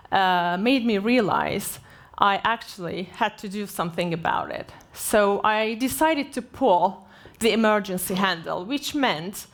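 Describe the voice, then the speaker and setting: A woman in her forties from Turkey gives a conference keynote as a personal story, speaking haltingly with many fillers and restarts. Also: medium pitch. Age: 40s. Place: conference stage.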